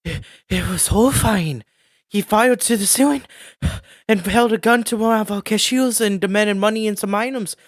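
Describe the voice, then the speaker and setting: Shaken shop manager played by a man, high voice, dry close sound. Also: normal speed, no room sound, lightly acted. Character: shaken male dollar store manager. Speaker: store manager. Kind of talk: amateur audio drama acting.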